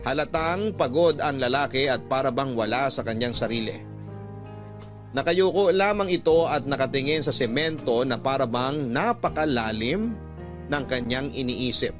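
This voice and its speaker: Guy narrating a radio love story, medium pitch, music clearly behind him. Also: animated, moderate pace. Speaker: host and narrator.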